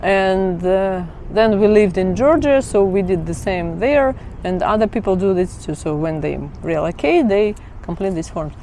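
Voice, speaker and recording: A woman's voice: medium pitch, animated, normal speed, hardly any pauses, warm tone, a little hiss or hum. Chatty woman. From Kazakhstan. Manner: chatty, laughs often, stumbles and corrects herself. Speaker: vlogger. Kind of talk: casual explainer chat.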